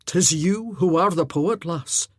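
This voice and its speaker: A woman voicing a mocking, teasing male Highland warrior in a storybook reading. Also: slow, no echo, clean studio sound. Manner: mock-sentimental, teasing.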